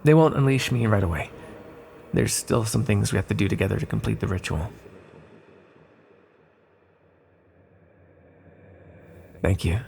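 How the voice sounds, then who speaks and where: A man playing an ancient godlike villain, seductive and softly manipulative, with a gravelly, breathy voice in an intimate fantasy audio roleplay. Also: lowish voice, brisk, in a large hall, a little hiss or hum. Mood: wistful, coaxing.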